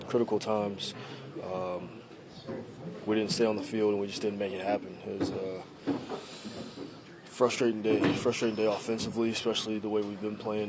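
A young man answering reporters' questions after a game, halting and repeating himself with many fillers, close to handheld mics in a locker room. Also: on the low side, background noise. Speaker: quarterback at a postgame press conference. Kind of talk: postgame press answers. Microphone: handheld reporter mics at close range.

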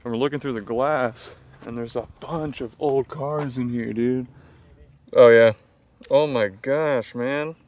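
A young man talking casually in a car vlog, outdoors at an old used car lot, getting excited about what he finds. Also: medium pitch, a little slow. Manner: excited, exclaiming. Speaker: car vlogger.

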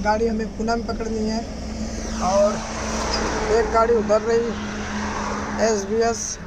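A young man who drives trucks, chatting casually to his phone camera from the cab and the roadside, often saying "guys, yaar". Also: high-pitched. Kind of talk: casual vlog narration to camera. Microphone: phone held at arm's length. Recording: noisy roadside phone recording. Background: vehicle and road noise.

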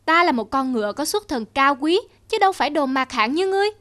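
A woman's voice: high voice, animated, quick pace, fairly bright tone. A woman voicing a haughty, scornful horse in a cartoon, talking in a pompous, scolding way. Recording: music under the voice.

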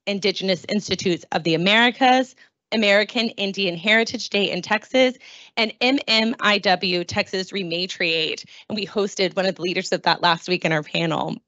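A young woman stands at a lectern in a library meeting room and reads out a guest's credentials in a formal, welcoming way. Her voice sits at an ordinary pitch, picked up close and dry by a handheld mic, with a slightly dull, muffled tone. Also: normal speed, a bit bright, dry recording. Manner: formal, welcoming, reads lists of names and awards. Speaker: event host introducing a speaker. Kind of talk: reading a prepared introduction. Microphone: handheld microphone.

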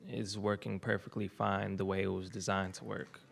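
Teen student poet introducing himself, low flat gravelly voice, halting. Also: male voice. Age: teens. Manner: halting, with restarts and fillers. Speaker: student spoken word performer. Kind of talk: casual spoken introduction. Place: stage of a lecture hall with an audience. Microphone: mic on a stand.

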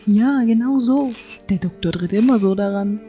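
A woman's voice: medium pitch, moderate pace, mellow, soft background music, natural voice. A woman playing a fussy, earnest, obsessive teenage boy in an anime audio drama, with a solemn, finicky manner. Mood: eager.

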